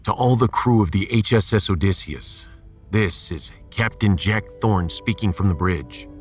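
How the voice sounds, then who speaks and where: A man playing a resolute starship captain in a sci-fi audio drama, commanding, earnest and measured. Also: on the low side, faint music behind, natural voice. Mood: solemn, rallying.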